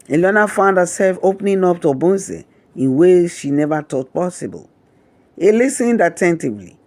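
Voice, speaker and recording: Male narrator, slightly high pitch, scripted folktale storytelling, measured dramatic delivery, dry close sound. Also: darkish tone, no echo.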